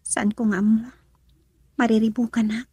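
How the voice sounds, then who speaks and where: Radio drama ingenue: young, tender, emotional, high breathy voice, close and dry. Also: female voice, little pitch movement, normal speed, warm tone, no room sound. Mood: anxious. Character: gentle, hesitant young woman in love. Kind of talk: Ilocano radio drama acting.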